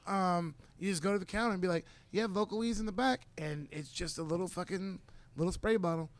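A man telling loud, swearing road stories in a casual studio chat. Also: high voice, fairly quick. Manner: swears a lot, tells stories with loud laughs. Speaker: comedian, host. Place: podcast studio.

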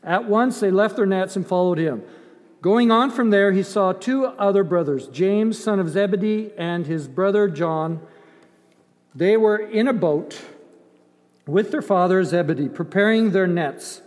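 High-voiced older man preaching in echoing hall. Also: unhurried. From grew up in Ethiopia. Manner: emphatic, conversational, with dramatized asides and rhetorical questions. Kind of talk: sermon. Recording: long hall reverb.

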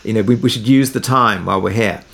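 A man's voice: on the low side, fast talker, creaky, a bit bright. A man talking casually as an interview guest, telling production anecdotes in a conversational way and thinking aloud as he goes.

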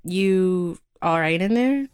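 An actress playing a friendly, slightly shy young coworker who chats casually in an audio drama. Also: female voice, fairly low pitch, expressive, takes it slowly, noisy background. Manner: casual, chatty, hesitant.

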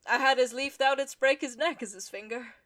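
A scheming young nobleman, acted by a woman in a Shakespearean radio drama. The voice is forceful and bright, pitched on the high side, with an insinuating, deliberate theatrical manner full of envy. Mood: venomous, urgent. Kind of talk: Shakespearean radio play acting.

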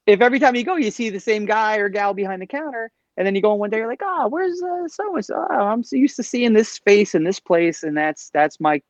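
A man's voice: high-pitched, lively intonation, brisk, few pauses, dry recording, highs cut off. A man in his late forties, a comic shop owner, chatting as a podcast guest with a wry, joking manner. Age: 40s. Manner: joking, wry, acts out customers. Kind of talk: casual interview chat.

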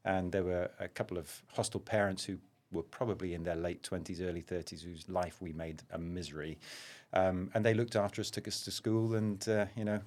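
A middle-aged man with a slight London accent, a surgeon guest telling stories of his childhood in a relaxed, self-deprecating way. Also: low-pitched, brisk. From Zaire and England. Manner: anecdotal, self-deprecating, with frequent ums. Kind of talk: relaxed conversational storytelling.

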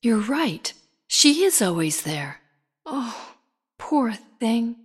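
A woman voicing a kind passerby who pities the girl, with a soft, breathy, sympathetic manner. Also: on the high side, big pitch swings, drawn-out words, pitched above the performer's own voice, exaggerated voice. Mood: pitying.

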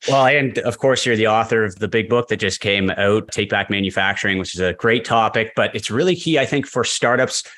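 A man's voice: fairly low pitch, brisk, hardly any pauses, a bit bright. A middle-aged man hosting a podcast interview, introducing his guest with upbeat enthusiasm. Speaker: podcast host.

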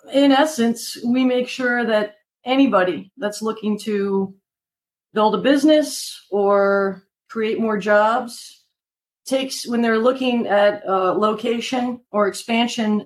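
An American woman from Maryland speaking as an interview guest, a city manager talking conversationally and with upbeat conviction. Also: medium pitch. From Maryland, United States.

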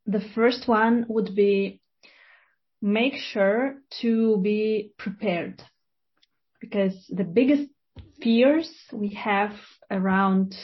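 Woman interview guest, unhurried and thoughtful, with a mid-pitched voice. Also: dull audio. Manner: measured, thoughtful, with fillers. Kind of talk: interview answers.

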